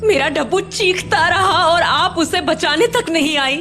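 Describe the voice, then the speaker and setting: Anguished grieving sister in horror audio drama, super high voice, slow, bit of noise behind. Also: female voice, lively intonation, sharp tone, heavily acted. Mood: tearful, angry. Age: adult. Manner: anguished, emotional. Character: grieving, angry adult woman seeking her lost younger brother.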